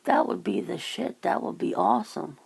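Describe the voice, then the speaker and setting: A woman with a creaky, gravelly voice chatting casually in an ASMR style, musing and rambling as host. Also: medium pitch, expressive, normal speed, a crowd in the background.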